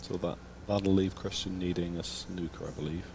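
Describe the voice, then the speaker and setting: An English man doing snooker co-commentary in a low-key, measured way. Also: fairly even intonation, creaky, background noise. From England.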